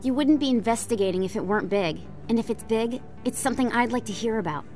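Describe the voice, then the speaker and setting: An actress playing an earnest, polite and persuasive investigative journalist in a sci-fi video game. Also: female voice, on the high side, quick pace, darkish tone, soft background music, natural voice. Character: eager, persuasive female investigative journalist on a space station. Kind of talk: video game dialogue acting.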